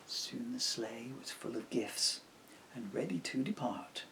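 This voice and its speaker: A man reading his own rhyming children's book aloud as an expressive storyteller. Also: mid-range voice, unhurried, creaky, slight background noise.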